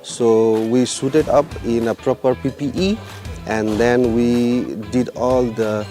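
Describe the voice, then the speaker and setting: A man's voice: mid-range voice, fairly even intonation, slow, mellow, soft background music. A man from Nepal, an aid worker interviewed in a matter-of-fact way.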